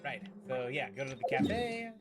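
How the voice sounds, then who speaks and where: A woman's voice: very deep voice, big pitch swings, a little slow. A woman doing casual gameplay commentary as a streamer, reacting playfully to the game. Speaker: gaming streamer. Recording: game music behind voice. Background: game music.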